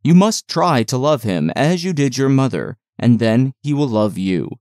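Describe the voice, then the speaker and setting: A kindly, coaxing servant woman in an audiobook, read by a man in a mid-range voice. The delivery is soothing and explanatory, with animated intonation. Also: medium pitch, normal speed, no room sound, clean studio sound, close to an everyday voice. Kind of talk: audiobook character dialogue.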